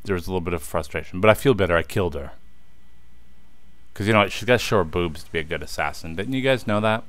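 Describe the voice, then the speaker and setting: A man's voice: deep voice, quick pace, fairly bright tone. Chatty man narrating his gameplay. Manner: chatty, talks to viewers, easily frustrated. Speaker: let's play host. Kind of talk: casual gameplay commentary.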